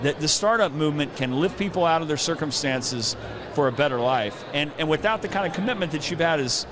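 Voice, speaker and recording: A middle-aged male podcast host with a somewhat high voice chats informally and tells anecdotes. He is recorded at an outdoor event with a clearly audible crowd behind him. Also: lively intonation, fairly quick. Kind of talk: casual conversational interview.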